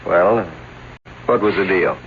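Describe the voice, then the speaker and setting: A man's voice: low voice, takes it slowly. A man playing a stern, dry police lieutenant questioning a witness in an old radio drama. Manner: hesitant and apologetic as clerk, then dry and stern. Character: man posing as coroner's clerk, then a dry police lieutenant. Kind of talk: 1970s radio drama acting. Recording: dull old radio broadcast with hiss.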